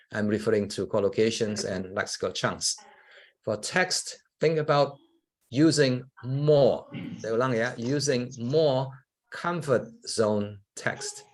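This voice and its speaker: Relaxed Indonesian-accented male lecturer. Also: mid-range voice. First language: Javanese. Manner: relaxed, conversational, frequent "yeah" tags, mixes in Indonesian. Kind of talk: public lecture.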